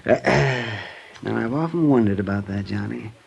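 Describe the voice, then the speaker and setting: An actor playing a genial, naive, tipsy old Bowery drifter in an old-time radio drama, rambling and stammering with a childlike chuckle. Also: male voice, mid-range voice, moderate pace, vocal fry, muffled recording. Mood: rambling, admiring.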